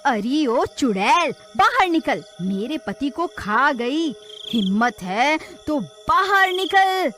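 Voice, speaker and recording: A woman's voice: high-pitched, very animated, takes it slowly, fairly bright tone, soft background music, pitched above the performer's own voice, heavily acted. A woman voicing a bold, devoted village wife in a cartoon story, with an emotional, exclaiming delivery. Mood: defiant.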